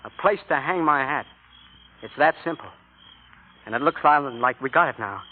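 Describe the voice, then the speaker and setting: A man's voice: on the high side, animated, moderate pace. An actor playing a tough young drifter and convict, talking in slangy tough-guy style that turns tender, in 1940s radio drama style. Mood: dreamy. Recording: tinny old radio broadcast.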